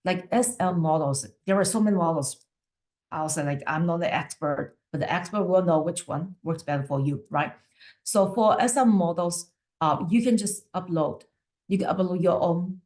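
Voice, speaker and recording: Teacher talking casually in a lowish voice. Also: female voice, normal speed, darkish tone. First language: not English. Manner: conversational, frequent "right?" and fillers. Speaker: teacher presenter. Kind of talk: webinar presentation.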